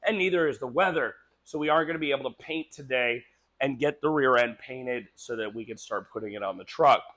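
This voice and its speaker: A man's voice: medium pitch, a bit bright, no room sound. A man hosting a truck restoration vlog, talking casually with ums and small laughs while he loses his voice. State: losing his voice from postnasal drip. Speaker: host of a truck restoration channel. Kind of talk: casual how-to workshop vlog.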